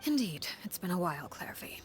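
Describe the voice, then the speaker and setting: Stern game matriarch, low register, composed, authoritative, formal, quietly threatening. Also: female voice, very animated, fairly quick, over loud music. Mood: calm, menacing. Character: stern, commanding matriarch, coldly menacing and composed. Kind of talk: scripted English game voice acting.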